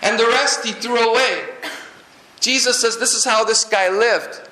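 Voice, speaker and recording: A man from Guyana preaching a sermon in a lively, humorous way, with jokes and asides to the congregation. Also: very high-pitched, unhurried, roomy sound, low-quality recording. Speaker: pastor.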